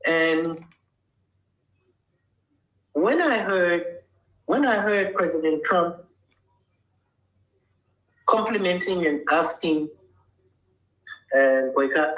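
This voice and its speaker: A woman's voice: on the low side, fairly bright tone. Blunt older woman, echoey room. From Liberia. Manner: blunt, emphatic, asks "you understand?". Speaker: interview guest. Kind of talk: casual interview talk.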